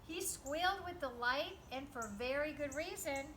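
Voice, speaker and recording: A woman reading a picture book aloud to children in an animated, teacherly way, with emphatic delivery and explanatory asides. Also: high voice, moderate pace, slight background noise. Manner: animated, emphatic, teacherly, asks listeners questions.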